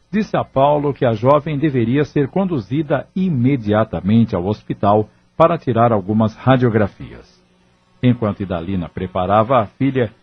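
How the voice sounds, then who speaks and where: A man narrates an audio drama from a script. His voice is low and somewhat breathy, and the recording sounds a little dull.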